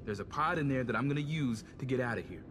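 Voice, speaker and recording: A man's voice: mid-range voice, expressive, fast, noisy background, natural voice. A man playing a savvy, self-interested tech operative in a video game, talking in a wry, slangy, casual way. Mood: businesslike. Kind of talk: video game cutscene acting.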